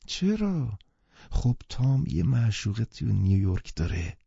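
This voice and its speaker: A man voicing a cool, worldly young woman who speaks in a brisk, knowing way, in a dull lo-fi recording. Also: lowish voice, expressive, mellow, breathy edge, no echo.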